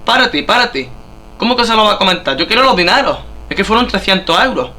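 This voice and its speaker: A man's voice: high-pitched, animated, fast talker, sharp tone. A man with an accent from Spain making a prank phone call, insistent and often repeating himself. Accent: Spanish (Spain). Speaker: prank caller. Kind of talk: prank phone call, pretending to be a cheated buyer.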